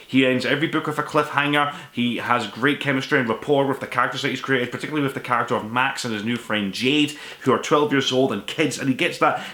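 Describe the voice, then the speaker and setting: Male vlog host, young adult, casual and self-deprecating, brisk delivery with a bright edge, informal review style. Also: medium pitch. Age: 20s to 30s. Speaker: book review show host. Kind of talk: casual book review to camera. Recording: echoey room.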